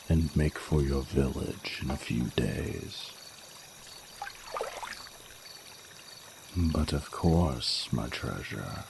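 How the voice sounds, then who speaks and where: An ancient, regal dragon king, played by a man, speaks in an exceptionally low rumble thick with gravelly fry. He is slow, formal and intimate, a tender, flirtatious lover in an audio romance, with clearly audible watery noise behind him. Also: heavily acted. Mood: resolute, devoted. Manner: formal, intimate, archaic courtly phrasing. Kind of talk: scripted romantic audio roleplay. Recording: noticeable noise, running water sounds.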